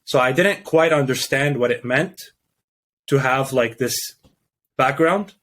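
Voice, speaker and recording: Young Canadian-born male guest, halting and thoughtful, plenty of fillers and restarts, mid-pitched voice. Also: a bit bright. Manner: hesitant, many restarts and fillers. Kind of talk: casual interview chat.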